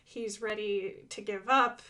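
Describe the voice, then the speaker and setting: A woman's voice: mid-range voice, steady tone, takes it slowly, pushed and bright. A woman giving casual, enthusiastic commentary on her own animation, playful, with sing-song asides and dramatic emphasis.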